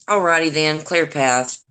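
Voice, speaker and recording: An older woman with a deep raspy voice and a Deep Southern accent, hosting a casual livestream chat in a blunt, profane, gossipy way. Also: bright, forceful tone. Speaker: livestream host. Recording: noisy livestream audio.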